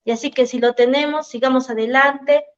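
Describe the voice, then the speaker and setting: Woman speaker, high voice, rallying rhetorical speech, dull lo-fi sound. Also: steady tone, fairly quick. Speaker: event speaker. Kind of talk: rousing speech. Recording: dull lo-fi event recording.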